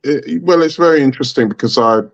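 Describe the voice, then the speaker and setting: A man from Trinidad, a guest on a talk show, telling a story in a thoughtful, halting, unhurried way. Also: fairly high pitch, vocal fry, dull audio. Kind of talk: reflective storytelling in conversation.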